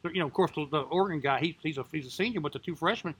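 A man talking sports casually with friends, excitable and chatty. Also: fairly high pitch, rapid-fire, slightly rough. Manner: excitable, loud impressions.

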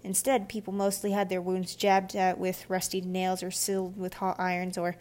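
A woman's voice: fairly low pitch, monotone, normal speed, darkish tone, natural voice. A woman voicing a bookish, blunt young boy in a children's audiobook, chatty and matter-of-fact. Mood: eager. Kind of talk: children's audiobook character dialogue.

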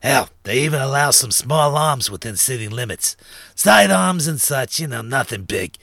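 A man's voice: medium pitch, animated, normal speed, vocal fry, bright, forceful tone, no room sound, clean studio sound, exaggerated voice. A man voicing a short, thin, sly underworld fence and information broker in a narrated story, with chummy, streetwise slang. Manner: streetwise gangster slang, chummy and self-assured. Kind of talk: acted character dialogue in a narrated story.

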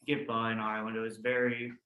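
A man's voice: medium pitch, level tone, normal speed, phone-quality sound. Young American man in an echoey room. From Oklahoma, United States. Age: 20s. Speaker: guest, theology student. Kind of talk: personal testimony, reflective storytelling. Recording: roomy echo, audible background noise.

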